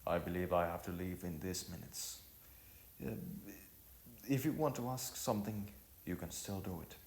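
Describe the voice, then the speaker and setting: Man playing a stiff, humble, low-voiced man. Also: moderate pace, darkish tone, echoey room. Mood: humble, formal. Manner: stiff, formal, humble, hesitant. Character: reserved, distressed, humble man Theodore.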